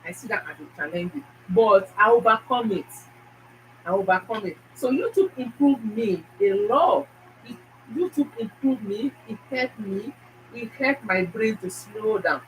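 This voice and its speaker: A woman's voice: on the high side, animated, measured pace, far from the mic, a little hiss or hum, poor audio. A woman with a Nigerian accent hosting her own channel, talking emphatically to viewers and repeating her phrases. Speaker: YouTube channel host. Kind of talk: casual motivational talk to viewers.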